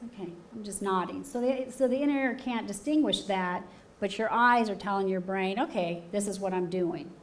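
A woman's voice: mid-range voice, slight background noise. A middle-aged woman lecturing on health into a handheld mic in a university lecture hall, explaining in a plain, conversational way. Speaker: physical therapist and lecturer. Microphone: handheld microphone.

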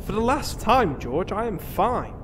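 A man's voice: high-pitched, very animated, a little slow. A man playing a pompous but well-meaning knight, formal and breezy, in a comedy fantasy cartoon. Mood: insistent. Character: pompous male knight, trying to be kinder. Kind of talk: comedic animated fantasy voice acting. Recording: loud storm-like background noise.

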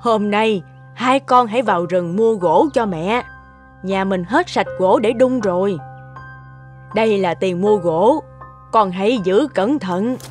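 A woman's voice: medium pitch, slow, faint music behind, close to an everyday voice. Woman acting a scolding, scheming cartoon stepmother. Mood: cold, scheming. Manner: sharp, scolding, domineering. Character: scheming, scolding middle-aged stepmother, greedy and domineering. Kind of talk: animated folk tale acting.

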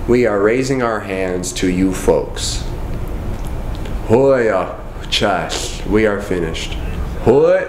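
A young man calmly teaching a language lesson, repeating each phrase slowly and carefully. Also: on the low side, background noise. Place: indoors, in front of a wooden wall. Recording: roomy indoor echo.

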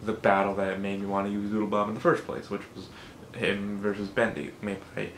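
A young man with a low, monotone voice, chatting casually and self-critically in a behind-the-scenes vlog from his bedroom, swearing often. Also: a little fast, echoey room, slight background noise. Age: young adult. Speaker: rap battle creator. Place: small bedroom. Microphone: studio condenser mic.

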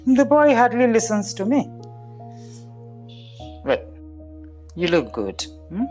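A woman's voice: a little high, very animated, slow pace, darkish tone, faint music behind. An actress playing an imperious, sardonic older mother-in-law, haughty and commanding. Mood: coolly gracious. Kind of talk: film drama acting.

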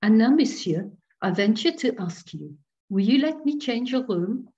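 A woman voicing a timid, kindly little elderly Breton priest in a storybook reading, speaking gently and formally. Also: high-pitched, big pitch swings, muffled recording, pitched above the performer's own voice, heavily acted. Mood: earnest, pleased. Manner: gentle, halting, formal phrasing.

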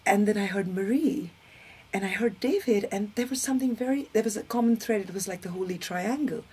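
A woman in her thirties with an Indian accent and Hindi as her first language, giving personal testimony in an expressive, emphatic way. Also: medium pitch, brisk. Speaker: interview guest, spiritual community member. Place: indoor set with curtain backdrop, seated. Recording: clear background noise.

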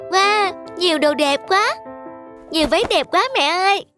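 A woman's voice: very high voice, normal speed. A woman voicing a polite, timid little girl in a cartoon, speaking in a childlike manner over music. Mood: delighted. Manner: soft-worded, apologetic, childlike. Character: timid, polite little girl who loves pretty things. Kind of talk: cartoon voice acting.

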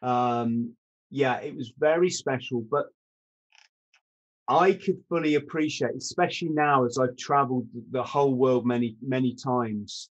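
A man's voice: mid-range voice, measured pace, highs cut off. A man in his fifties hosting a podcast over a video call, asking long storytelling questions. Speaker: podcast host. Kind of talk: casual interview chat. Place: room at home.

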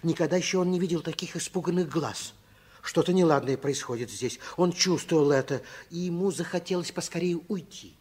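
A man narrating a children's radio drama as a storyteller, in a hushed, suspenseful way. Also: on the high side, brisk, breathy edge.

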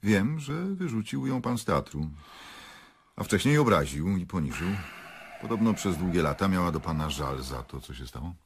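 Stern, husky male prosecutor. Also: sits low, big pitch swings, normal speed, full character voice. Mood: cool, official. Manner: probing, accusatory, formal. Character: stern male prosecutor interrogating murder suspects, cool and probing. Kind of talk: radio play acting.

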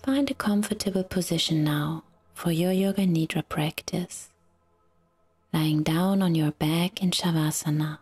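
Very slow, breathy woman guiding relaxation. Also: fairly low pitch, soft background music. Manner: soft, soothing, breathy, half-whispered delivery with long pauses. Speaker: yoga nidra guide. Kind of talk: guided relaxation meditation.

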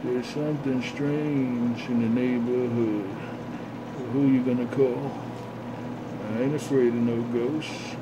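A man's voice: mid-range voice, steady tone, takes it slowly. A man talking on CB radio, repeating catchphrases in a showy chant. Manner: repetitive, showy chanting of phrases. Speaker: CB radio operator. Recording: dull lo-fi, loud radio static noise.

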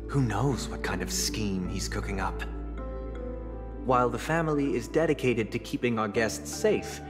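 An actor playing a composed, courteous but suspicious family head in a video game, measured and haughty. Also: male voice, on the low side, close to an everyday voice. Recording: reverberant, with music underneath.

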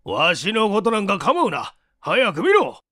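An irascible old scientist in an audiobook story. He is bossy and gruff, barking in an old man's idiom at a high pitch, with a close, dry sound. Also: wildly swooping pitch, unhurried, pushed and bright, no room sound, full character voice. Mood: urgent. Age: older. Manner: barking, old-fashioned elderly speech.

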